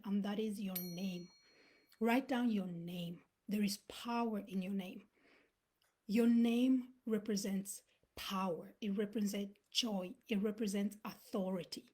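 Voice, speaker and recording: A woman's voice: medium pitch, a little mellow, breathy edge. A woman in her thirties, born in Uganda, giving an earnest motivational talk on a live stream and dropping to a whisper for emphasis. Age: 30s. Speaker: motivational speaker.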